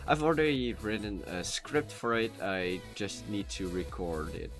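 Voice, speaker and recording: A man's voice: on the low side, animated, a little slow. A Dutch man with a Dutch accent, chatting casually while live-streaming a game, rambling with many fillers and restarts into a cheap USB mic. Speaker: gaming streamer. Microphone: cheap USB microphone. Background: soft background music.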